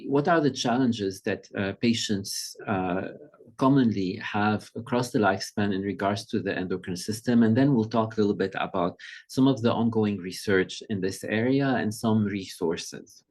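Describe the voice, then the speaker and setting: A middle-aged man from Lebanon speaks over a video call, presenting as a pediatric endocrinologist. His voice sits a little low, and his delivery is conversational and measured. He often pauses to gather his thoughts mid-sentence. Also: darkish tone. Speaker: pediatric endocrinologist giving a talk. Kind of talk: informal presentation.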